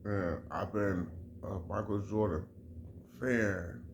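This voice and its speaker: A man's voice: lowish voice, fairly even intonation, slow pace, slight background noise. A middle-aged man from Chicago giving an emphatic, repetitive sports opinion in a casual vlog, often restarting his phrases. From Chicago, USA. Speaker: vlogger.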